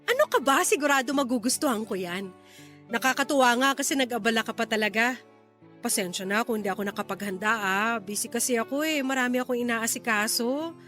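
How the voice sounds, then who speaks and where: A woman's voice: on the high side, fairly quick, fairly bright tone, faint music behind, natural voice. An actress with a Filipino accent playing a fed-up, fiery mother in an emphatic radio drama style. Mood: cheerful. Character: fed-up, fiery mother confronting her lying ex.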